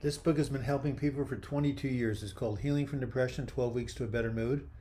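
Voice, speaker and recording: An older man hosting a live video chat, reading viewer comments aloud and replying in a friendly, halting way with frequent restarts. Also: mid-range voice, fairly even intonation, mellow, a little hiss or hum. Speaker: livestream host answering viewer comments.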